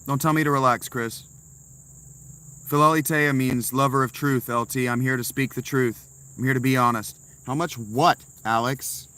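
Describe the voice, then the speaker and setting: Bright-voiced sarcastic man streaming from a tent. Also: medium pitch, steady tone, moderate pace, clear, resonant tone. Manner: blunt, sarcastic replies to chat. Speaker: live streamer answering chat. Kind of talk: casual livestream chat. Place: inside a tent.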